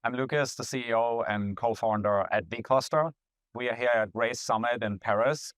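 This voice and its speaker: A man's voice: medium pitch, moderate pace, a bit bright, no echo. Upbeat man interviewing, dry close sound. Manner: upbeat, enthusiastic, repeats words as he starts sentences. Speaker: interviewer, company CEO. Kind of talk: casual interview conversation. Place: event venue.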